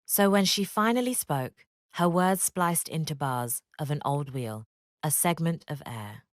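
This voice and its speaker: A woman giving scripted educational narration in a measured, expressive read-aloud manner. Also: deep voice, no room sound, studio quality.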